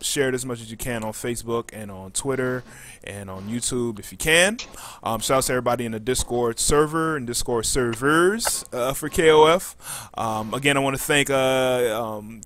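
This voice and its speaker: Halting male podcast host, bright voice. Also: medium pitch. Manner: halting, frequent pauses mid-sentence. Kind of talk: casual podcast hosting with announcements.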